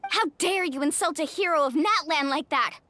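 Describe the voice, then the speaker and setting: A woman playing an upbeat, loyal young warrior woman in a video game, earnest and expressive. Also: high voice, normal speed. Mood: indignant. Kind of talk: English video game voice acting.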